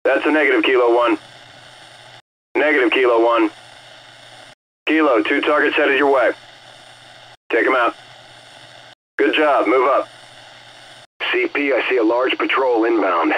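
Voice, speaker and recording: A man playing a brisk military air-recon officer in a game, making clipped, authoritative radio calls. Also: medium pitch, a bit bright, slight background noise, natural voice, rough recording. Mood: alert, urgent.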